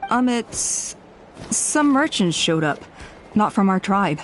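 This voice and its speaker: A woman playing a composed, hospitable elderly tribe elder in a video game, measured and courteous. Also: mid-range voice, sing-song, slight background noise. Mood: concerned.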